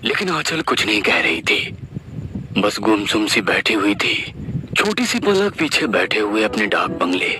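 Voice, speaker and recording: A man narrating a horror story in a lively, expressive storytelling style. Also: fairly low pitch, unhurried. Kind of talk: dramatic scripted story narration. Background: background music.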